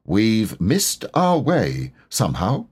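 Man playing pompous, slightly husky rabbit. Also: on the low side, wildly swooping pitch, slow pace, heavily acted. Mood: self-important. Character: self-important, bossy male rabbit, brisk and dismissive.

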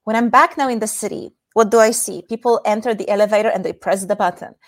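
Polish-accented woman in her thirties, podcast guest, calm and earnest, walks listeners through exercises, medium pitch. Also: quick pace. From Poland. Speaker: intimacy coach guest. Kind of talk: podcast interview.

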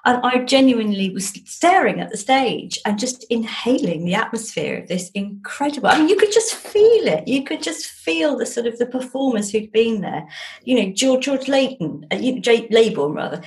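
An English woman, an author being interviewed over a video call, telling anecdotes in a chatty, discursive and self-deprecating way. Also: medium pitch.

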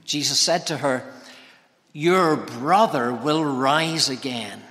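A man preaching an expository sermon, speaking with emphatic, deliberate phrasing. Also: mid-range voice, a bit bright. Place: large reverberant church or hall. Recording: hall reverb.

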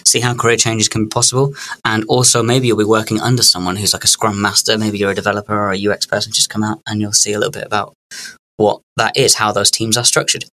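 A man's voice: on the low side, little pitch movement, fast, bright, forceful tone, poor audio. A man co-hosting a podcast, chatting in a playful, rambling way. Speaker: podcast co-host. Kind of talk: casual podcast banter.

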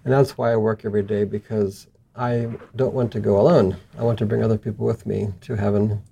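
A man's voice: fairly low pitch, level tone, a little fast, vocal fry, dark tone, slight background noise. A middle-aged man who grew up in southern Africa and Southeast Asia, talking conversationally about himself as an interview guest. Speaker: interview guest, missions journalist. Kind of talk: conversational personal account in an interview.

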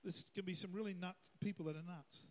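Male pastor, middle-aged, high voice, chatty rambling sermon, long church-hall echo. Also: fairly even intonation, quick pace. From Australia. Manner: conversational and rambling, with frequent asides to the congregation.

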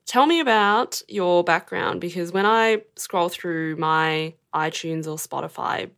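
Playful woman interviewer with vocal fry. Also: lowish voice, a little slow, fairly bright tone. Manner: playful and teasing. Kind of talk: casual conversational interview.

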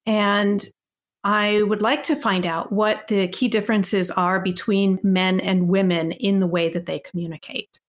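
A woman of middle pitch hosting a podcast. She asks her questions in a measured way and shows appreciation for the answers. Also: fairly even intonation. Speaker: podcast host. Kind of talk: interview questions.

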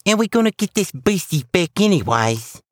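A man's voice: high voice, sing-song, moderate pace, no echo, clean studio sound, pitched above the performer's own voice. A man voicing a cheeky elf soldier with a Cockney accent in a comic military audio story.

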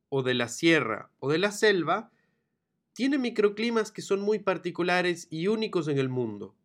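A man's voice: fairly high pitch, fairly bright tone. A man from Ecuador hosting a travel podcast, talking enthusiastically and expansively. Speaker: podcast host. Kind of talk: solo travel podcast narration.

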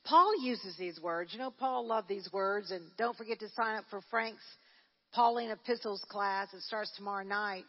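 A woman's voice: mid-range voice, moderate pace, husky, big-room sound, muffled recording. A woman minister delivering a Pentecostal sermon at a prayer service, conversational and full of restarts, then building into fervent preaching. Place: church sanctuary.

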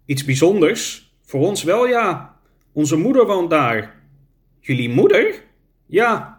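A man's voice: high voice, huge pitch swings, slow, pitched above the performer's own voice, heavily acted. A man reading a storybook and voicing an elderly son worried about his mother. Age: older.

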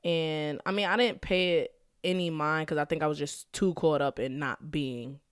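A woman's voice: sits low, fairly even intonation, normal speed, clean tone, no echo, studio quality. A young woman hosting her own podcast, talking casually in slang and laughing often, a little stuffy from a cold. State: slightly congested. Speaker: podcast host; school counselor. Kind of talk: casual solo podcast monologue.

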